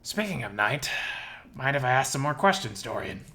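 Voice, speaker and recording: A man acts out a young male animal survivor from a furry visual novel. He is earnest and curious, with a hopeful streak, and speaks at a middling pitch. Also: big pitch swings, normal speed, sharp tone.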